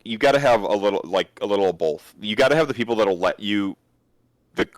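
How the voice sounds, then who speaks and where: Profane, animated man on a dry mic. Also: on the low side, fast, dry recording, poor audio. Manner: animated, swears freely, acts out quoted voices. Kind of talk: casual hobby stream chat.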